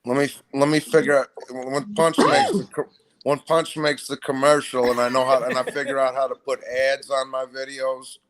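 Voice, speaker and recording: A man's voice: medium pitch, big pitch swings, moderate pace, a little hiss or hum, low-quality recording. A man on a podcast panel talking bluntly and emphatically, with plenty of swearing. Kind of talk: casual chat.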